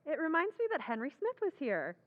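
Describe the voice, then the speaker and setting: A woman's voice: high voice, wildly swooping pitch, fairly quick, in a large hall, highs cut off. A woman playing a sweet, timid, affectionate young wife in an old-time radio drama recreation. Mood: puzzled.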